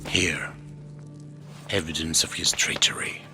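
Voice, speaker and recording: A weary older ruler from a video game, cautious and grave. The voice is deep, with solemn, measured phrasing, and music plays clearly underneath. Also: male voice, steady tone, sharp tone, heavily acted. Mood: guarded, grateful. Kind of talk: video game cutscene acting.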